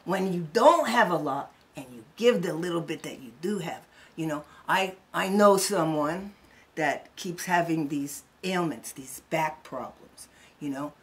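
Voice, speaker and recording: A woman's voice: low voice, measured pace. A middle-aged woman talking as a guest in a casual spiritual chat, chatty and conversational, with frequent fillers.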